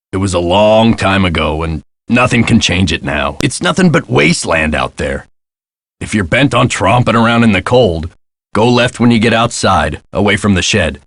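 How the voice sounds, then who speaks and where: A man playing a gruff, world-weary middle-aged American caretaker in a video game, guarded and folksy in a clipped way. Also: low-pitched, fairly bright tone, no room sound, clean studio sound, full character voice. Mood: brisk. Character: gruff world-weary male castle caretaker, guarded about his past.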